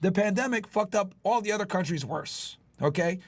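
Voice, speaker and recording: A man's voice: high voice, animated, moderate pace. A man hosting a livestream explainer, talking emphatically with casual swearing, repeated points and frequent check-ins with his listeners. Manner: checks in with listeners using "right?", "okay?". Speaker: livestream host, former federal agent.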